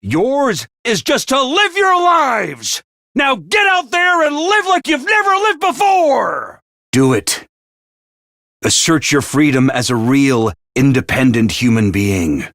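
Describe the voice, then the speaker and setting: A man's voice: extremely high pitch, vocal fry, pushed and bright, no room sound, studio quality, full character voice. A male actor playing a bombastic, theatrical little mascot commander in a video game, swinging between chirpy and sly. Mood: rousing, then solemn, commanding. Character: bombastic, theatrical small robot-mascot commander, cheery yet menacing. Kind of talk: animated video game voice acting.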